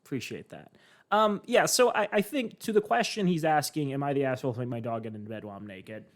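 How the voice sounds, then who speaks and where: Measured, even-toned man. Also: mid-range voice. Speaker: podcast co-host. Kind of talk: casual podcast chat.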